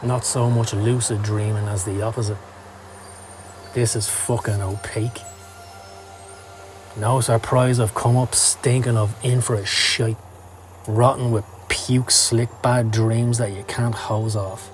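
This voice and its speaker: A man's voice: medium pitch, little pitch movement, slow, lightly acted. A man voicing a down-at-heel male occult hustler in a motion comic, slangy, profane and sardonic. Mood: bitter, disgusted. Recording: music under the voice.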